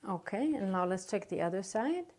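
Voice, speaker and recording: A woman's voice: mid-range voice, expressive, moderate pace, a little mellow. A woman teaching a clinical exam, giving a patient polite, step-by-step directions with brief words of encouragement. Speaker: nursing instructor demonstrating an exam.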